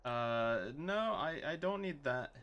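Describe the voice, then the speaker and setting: Low-voiced woman streamer, casual and lively. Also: takes it slowly, muffled recording, a little hiss or hum. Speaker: streamer playing a story game. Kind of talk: casual gameplay commentary.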